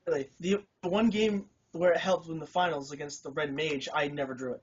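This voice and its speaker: A man's voice: fairly high pitch, steady tone, a little fast, slight background noise. A man being interviewed about his win, modest and hesitant, with frequent fillers. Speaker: tournament winner being interviewed. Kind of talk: casual interview. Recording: lo-fi recording.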